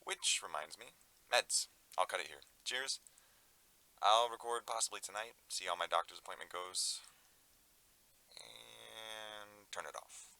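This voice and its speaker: Man playing a troubled diarist with a sharp, bright voice. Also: on the low side, vocal fry, full character voice. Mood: relieved, casual. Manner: halting, muttering to himself. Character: troubled, confused man recording private tape diaries. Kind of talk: audio drama monologue.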